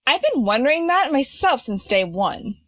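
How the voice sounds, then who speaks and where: Woman acting a high-pitched, haughty young heiress. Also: very animated, normal speed, fairly bright tone, dry recording. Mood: dry, smug. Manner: dry, sardonic, scolding. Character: haughty, dry-witted rich young female student.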